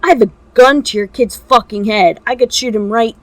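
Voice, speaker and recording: A woman's voice: on the high side, normal speed, no room sound, lightly acted. Female reader as flustered kidnapper, male: menacing but stammering bluster, audiobook dialogue, dry sound. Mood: nervous bluster. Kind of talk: audiobook-style fan fiction character dialogue.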